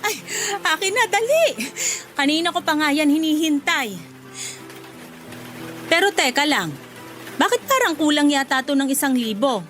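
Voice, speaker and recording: A high-pitched, demanding middle-aged mother acted by a woman in a radio drama. She nags and wheedles expressively, pressing her grown children for what she wants. Faint music sits behind her. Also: normal speed, pauses often. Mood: eager, dissatisfied. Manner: nagging, expressive.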